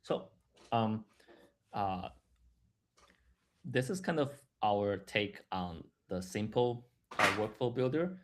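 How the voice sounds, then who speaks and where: A man giving a live, explanatory software demo in a halting way, repeating words and restarting as he goes. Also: lowish voice, expressive, very noisy. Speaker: software product presenter.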